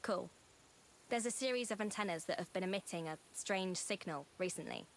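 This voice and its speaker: A woman's voice: medium pitch, fairly quick, slight background noise, close to an everyday voice. An actress playing a rebellious young woman, a brash, defiant drone racer in a video game. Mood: confident, businesslike. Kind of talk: video game cutscene acting.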